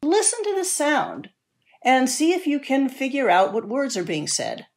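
Lively, high-voiced woman giving instructions. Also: measured pace, slight background noise. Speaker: presenter of a listening demonstration. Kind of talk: explanatory demonstration.

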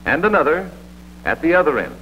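A man's voice: on the high side, huge pitch swings, brisk, pushed and bright. An American man narrating a vintage military training film in a scripted, authoritative instructional manner. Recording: dull vintage film soundtrack with hum and hiss.

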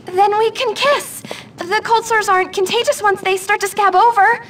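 A woman's voice: very high-pitched, fairly even intonation, moderate pace, a bit bright, a little hiss or hum. A woman playing a needy, lovesick, nerdy teenage schoolgirl in a video game, whiny and melodramatic. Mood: dreamy, smitten.

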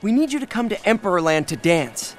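An actor voicing a caring, anxious young penguin father in an earnest, encouraging way for an animated game. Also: high-pitched, fairly quick, soft background music.

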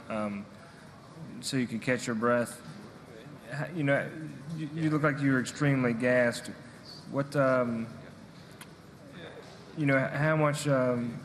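A man's voice: medium pitch, in a large hall, a little hiss or hum. A man asking a sports reporter's question, stopping and rephrasing as he goes. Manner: hesitant, stops and rephrases the question. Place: press conference room.

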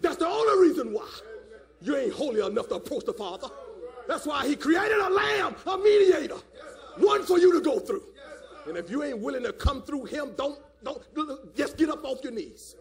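A man a fiery call-and-response sermon, emphatic and repetitive, often rising to a shout, with a gravelly voice. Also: very high-pitched, big pitch swings, normal speed. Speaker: preacher.

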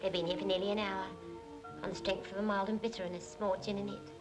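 Snooty, gossipy English barmaid, played by an actress. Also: female voice, medium pitch, little pitch movement, fast, close to an everyday voice, low-quality recording. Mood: disdainful, gossipy. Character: gossipy, snooty barmaid with airs, sharp-tongued. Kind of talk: 1940s British film acting. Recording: old 1940s film soundtrack with music.

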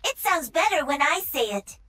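An actress playing a cocky, playful young Korean mech pilot and gamer, cheeky and teasing. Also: female voice, high voice, very animated, normal speed, pushed and bright, faint music behind, heavily acted. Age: young adult.

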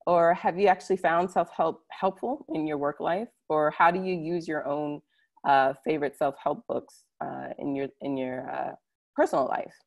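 A woman's voice: low voice, fairly even intonation, moderate pace, creaky. A woman giving an informal online presentation, speaking conversationally and addressing her listeners directly. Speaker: conference presenter. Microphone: computer mic on a video call.

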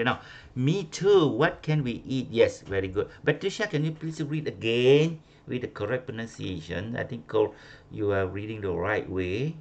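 A man's voice: lowish voice, vocal fry. A man with a Malaysian accent teaching an online English class, repeating phrases and correcting stress, switching into Malay. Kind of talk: online class coaching pronunciation.

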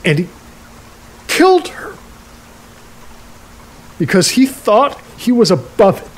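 An actor playing a stubborn, halting older man, a reclusive rental host, in an audio drama. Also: high-pitched, huge pitch swings, full character voice. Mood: bitter, grieving. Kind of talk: naturalistic audio drama acting.

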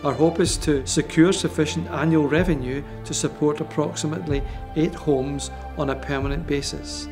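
Male voice-over, somewhat high pitch, measured script reading, music clearly underneath. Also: fairly even intonation. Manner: measured, informative.

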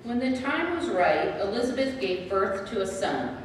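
A woman's voice: medium pitch, big pitch swings, moderate pace. Lady narrator reading bible story, church hall reverb, far from the mic. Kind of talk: scripted scripture narration. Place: large church sanctuary. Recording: echoey church from far off, faint noise.

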